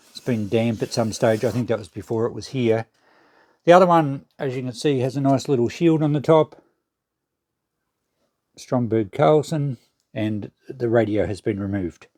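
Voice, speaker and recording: A man's voice: mid-range voice, a little mellow, no echo, studio quality. Musing Australian man, casual vlogger. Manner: musing, thinking aloud. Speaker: vlog host. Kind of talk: casual narrated walkthrough of a shed clean-out.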